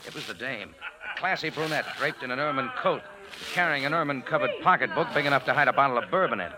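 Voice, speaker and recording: An actor playing a sardonic American cafe owner, narrating in slangy, dry style for a vintage radio drama. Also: male voice, medium pitch, lively intonation, fast, lightly acted, rough recording. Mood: wry, unruffled. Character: wry, hard-boiled American male cafe owner. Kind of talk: 1940s radio acting, first-person narration.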